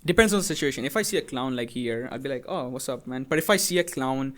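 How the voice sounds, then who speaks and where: A man's voice: mid-range voice, expressive, fast talker. A young man co-hosting a podcast in casual banter, slangy, profane and jokey. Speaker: podcast co-host.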